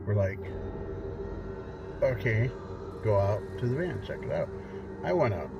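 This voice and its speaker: A man's voice: on the low side, sing-song, unhurried. A middle-aged man casually telling a spooky personal story in a conversational way, as a paranormal investigator. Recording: dull lo-fi sound under loud music. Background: loud music.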